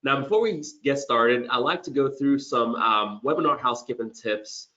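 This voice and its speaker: Male webinar host, mid pitch, slightly hoarse, chatty with restarts, muffled lo-fi audio. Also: normal speed, bright, forceful tone. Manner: conversational, with frequent restarts and fillers. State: losing his voice a little. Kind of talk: corporate webinar presentation.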